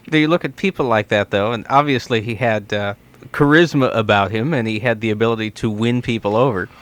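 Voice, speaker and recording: A man's voice: fairly low pitch, fairly quick, a bit bright. A man hosting a radio talk show from a studio, interviewing in a conversational style.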